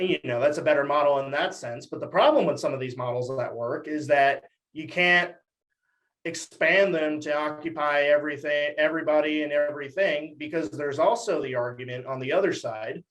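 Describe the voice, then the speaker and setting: A young male guest from Texas talks in a somewhat high voice. He explains his views articulately, in the conversational style of a political commentator on a podcast. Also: normal speed, a bit bright, low-quality recording.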